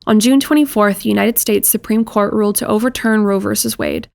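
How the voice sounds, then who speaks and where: Even-toned woman, creaky, very dry recording. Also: mid-range voice, dry recording. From Canada. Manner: earnest, measured. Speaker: podcast representative. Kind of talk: scripted public appeal to listeners.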